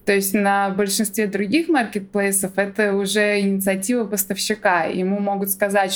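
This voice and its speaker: A woman speaking as an interviewed guest, explaining things informally step by step with some hedging. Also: medium pitch. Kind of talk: conversational explanatory interview talk.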